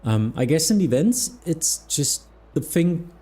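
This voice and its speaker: Mellow-voiced German man, hesitant chat. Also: fairly high pitch, very animated, a little slow, slightly rough. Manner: hesitant, searching for words, frequent restarts. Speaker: flight sim streamer and air traffic control mentor. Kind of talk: casual live-stream chat with viewers.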